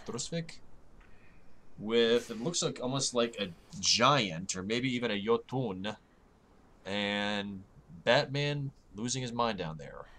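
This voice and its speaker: A man with a slightly hoarse voice, rambling and joking at his own expense as he narrates playing a game. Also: medium pitch, fairly bright tone, a little hiss or hum. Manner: rambling, self-mocking, chatty asides. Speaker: gaming channel host. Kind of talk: casual let's-play commentary. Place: home, late at night.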